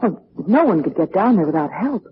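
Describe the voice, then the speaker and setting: A woman's voice: fairly low pitch, sing-song, brisk. An actress playing a nervous, spooked female companion to a sleuth in a 1940s radio drama. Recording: muffled, noisy old radio recording.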